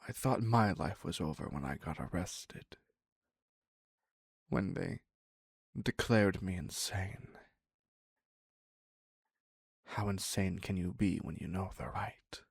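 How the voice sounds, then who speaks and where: A man playing an obsessive, clingy criminal captor in an intimate audio roleplay, husky and halting, slipping into whispers. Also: on the low side, no echo, clean studio sound, exaggerated voice. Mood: tender, confessional. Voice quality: husky, breathy, gravelly. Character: obsessive male yandere captor, ex-asylum criminal, clingy and needy.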